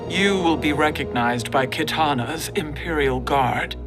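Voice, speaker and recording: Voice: medium pitch, measured pace, loud music behind the voice, lightly acted. A performer playing a proud, stern monster warrior queen in a video game cutscene, speaking formally and haughtily. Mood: grave, resolute.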